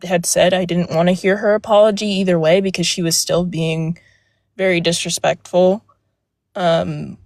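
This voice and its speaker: A young woman with a soft voice telling a personal story on a live panel, hesitant with many fillers and restarts, speaking from outdoors. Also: fairly low pitch, steady tone, moderate pace, no echo, studio quality. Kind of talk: casual personal account. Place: outdoors near a road.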